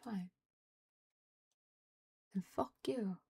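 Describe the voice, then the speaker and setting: A young Norwegian woman streaming live, chatting casually and muttering and swearing at her software as she struggles with it. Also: medium pitch, extremely slow, creaky, husky. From Norway. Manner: frustrated, swearing, muttering under her breath. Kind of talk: casual livestream chat while fiddling with software.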